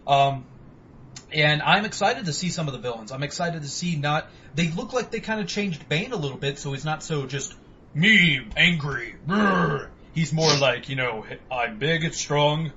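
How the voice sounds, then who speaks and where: A man's voice: fairly high pitch, expressive, moderate pace, pushed and bright, a little hiss or hum. A young man co-hosting a podcast, rambling in casual, profane chat about video games. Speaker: podcast co-host. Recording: dull lo-fi home recording.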